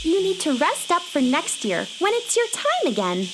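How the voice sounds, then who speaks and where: A woman's voice: very high voice, huge pitch swings, normal speed, noisy background, full character voice. An actress playing an earnest, kind and polite young space girl in a children's radio play, with an innocent manner. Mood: gently apologetic.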